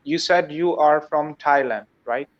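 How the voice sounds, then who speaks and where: A man from Pakistan, a teacher practicing his English on a video call, speaks slowly and haltingly in short answers. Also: fairly high pitch, dry recording, highs cut off. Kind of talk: casual video-call chat.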